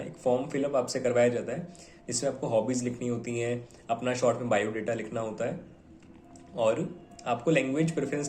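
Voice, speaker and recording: A man's voice: medium pitch, darkish tone, slight background noise. A young man from India, giving casual, conversational advice to viewers and mixing Hindi with English words. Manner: conversational, mixes Hindi with English words, fillers like "theek hai". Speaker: bank officer giving exam advice. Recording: echoey room.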